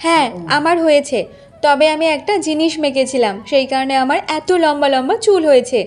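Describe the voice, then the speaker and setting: A woman's voice: high voice, a little fast, lightly acted. A woman voicing a proud, defiant young village woman in a cartoon, answering back with firm taunts. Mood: taunting, smug. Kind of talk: Bengali cartoon voice acting.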